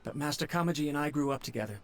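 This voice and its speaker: A man's voice: a little high, rapid-fire, gravelly, slight background noise. An actor playing a nervous young male servant who stammers and speaks humbly. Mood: worried.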